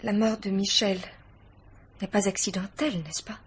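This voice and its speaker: An actress playing a guarded, anxious yet self-possessed young woman in a French radio mystery play, speaking gravely and formally. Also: mid-range voice, level tone, normal speed, slight background noise, lightly acted. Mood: grave, defiant. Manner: grave, formal, hesitant but pointed.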